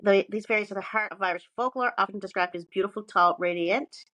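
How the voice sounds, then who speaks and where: A woman's voice: medium pitch, fast talker, pushed and bright, no echo. A woman co-hosting a podcast, partly reading from notes and chatting casually, with sweary, self-mocking asides. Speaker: podcast co-host presenting research.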